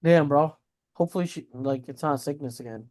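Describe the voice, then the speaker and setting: A man's voice: fairly high pitch, brisk, a little mellow. A man co-hosting a podcast, reacting to news in a casual, slangy way with mild swearing. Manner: slangy, informal, swears mildly. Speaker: podcast co-host.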